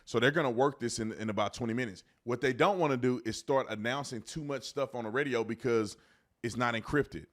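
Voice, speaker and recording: A man's voice: mid-range voice, fairly quick, a bit bright. An American man hosting an informal, emphatic commentary, repeating phrases to drive his points home. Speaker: host commentator, former police officer.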